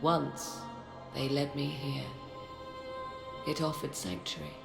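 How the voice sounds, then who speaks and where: A woman playing a poised, proud sorceress in a video game, speaking formally and enigmatically in a measured, haughty manner. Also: extremely low pitch, animated, vocal fry, exaggerated voice. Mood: measured, knowing. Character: poised, cryptic sorceress, proud keeper of ancient secrets. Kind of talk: dramatic video game dialogue. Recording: reverberant, with game music behind.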